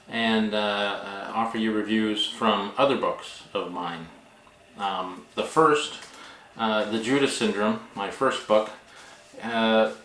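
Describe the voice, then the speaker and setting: A man talking casually as a vlog host and author, with a halting, wry, self-deprecating manner. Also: on the low side, a bit bright. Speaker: author and book vlogger hosting. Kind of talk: casual vlog talk, reading reviews aloud. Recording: echoey room.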